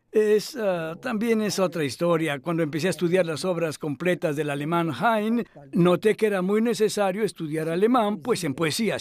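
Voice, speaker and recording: A man's voice: high-pitched, a little mellow. A male actor dubbing a cultured older scholar who speaks reflectively and hesitantly in a conversational interview. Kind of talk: voice-over dub of a conversational interview.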